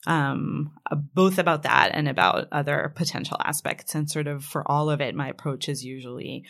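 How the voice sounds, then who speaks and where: Low gravelly woman, native Hebrew speaker. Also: moderate pace, fairly bright tone. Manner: thoughtful, hesitant with many fillers and frequent laughs. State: jet lagged. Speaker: author guest. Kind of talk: casual interview conversation.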